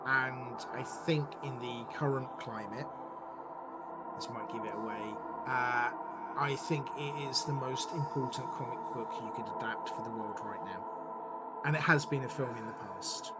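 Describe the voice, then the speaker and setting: A man gives thoughtful answers as a podcast guest, laying out creative ideas piece by piece and stressing key words. His pitch is average for a man. Music plays loudly underneath his voice. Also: normal speed. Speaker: interview guest, audio drama writer and director. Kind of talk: thoughtful podcast interview conversation.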